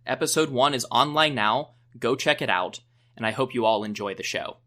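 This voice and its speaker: A young man hosts a history podcast with a bright, crisp voice. He reads from a script at a middle pitch in a measured, explanatory way. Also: animated. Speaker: podcast host. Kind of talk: scripted narration.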